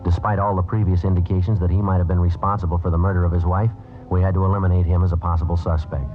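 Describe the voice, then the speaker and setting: A man acting as an American police detective in a vintage radio crime drama, speaking very low and fast. He is deadpan, methodical and terse, on a tinny old broadcast recording. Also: faint music behind, natural voice. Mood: deadpan, factual. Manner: deadpan, businesslike. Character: male police detective, clipped, matter-of-fact, methodical narrator.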